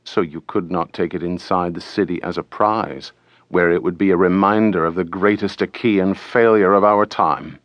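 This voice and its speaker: A man voicing a wise old king in an audiobook, speaking in a measured, knowing manner. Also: low-pitched, steady tone, dry recording, muffled recording, voice pushed down, lightly acted. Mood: calm, musing. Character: wise old king musing on the enemy's trophy.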